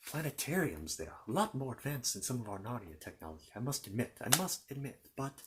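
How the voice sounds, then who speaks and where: Casting: male performer as a courteous, bookish fantasy knight for ASMR roleplay, mid-pitched, very lively intonation, stammering and apologetic. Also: heavy background noise, close to an everyday voice. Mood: fascinated, puzzled. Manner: halting, stammering, apologetic, chatty. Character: polite, bumbling, bookish knight from a fantasy kingdom. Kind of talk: improvised ASMR character roleplay.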